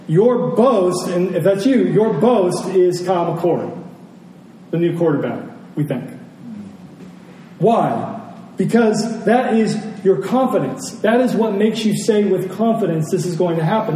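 A man's voice: high voice, expressive, unhurried, warm tone. An American man giving a conversational sermon as a pastor, speaking reflectively and repeating phrases for emphasis. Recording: roomy, distant mic, faint noise.

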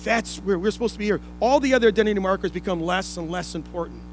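High-pitched man preaching a sermon. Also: fast talker, soft background music. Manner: repeats key phrases for emphasis; explanatory, with rhetorical questions. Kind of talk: sermon to a congregation. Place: indoor room, speaking to a congregation.

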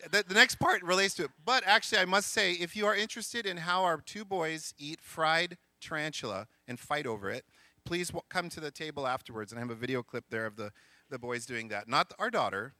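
A man's voice: fairly high pitch, fairly quick, sharp tone, no echo. A middle-aged man, a missionary school counselor, giving an earnest, joking church missions talk. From grew up in Sri Lanka, India and Egypt. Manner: joking, earnest speaker reading scripture aloud.

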